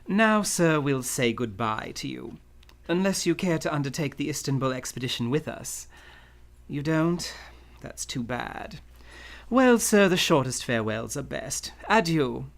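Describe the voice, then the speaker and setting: An actor playing a genteel, scheming, unflappable villain in a live old-time radio drama, speaking in pompous, florid, courtly phrases. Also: male voice, mid-range voice, lively intonation, a little slow, creaky. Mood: grandly jovial.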